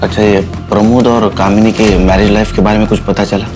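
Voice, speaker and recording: A man's voice: lowish voice, little pitch movement, brisk, natural voice. A man playing a stern, probing senior police officer in a TV crime drama, giving terse orders and questions with authority. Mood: commanding. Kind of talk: Hindi TV police procedural acting. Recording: music under dialogue.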